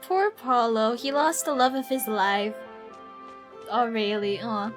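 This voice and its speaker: A woman livestreaming a game with casual, expressive, giggly chatter, often repeating herself. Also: a little high, slow. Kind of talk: casual chatty stream commentary. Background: faint game music.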